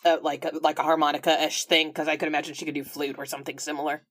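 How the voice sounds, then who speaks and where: A woman's voice: sits low, flat delivery, quick pace, a bit bright. Woman casually narrating her game character. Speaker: player in a tabletop roleplaying game. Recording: music playing behind the voice. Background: background music.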